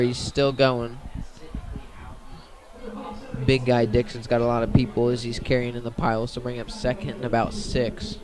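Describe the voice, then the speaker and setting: A man giving radio-style play-by-play commentary on a football game. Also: medium pitch.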